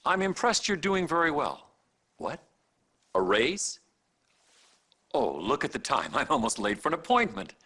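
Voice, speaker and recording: An actor playing a smug, vain, middle-aged hospital chief of staff in a video game cutscene, pompous and self-satisfied. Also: male voice, on the high side, sing-song, measured pace, long pauses, sharp tone, husky, heavily acted. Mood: mock jovial. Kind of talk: live-action video game cutscene acting.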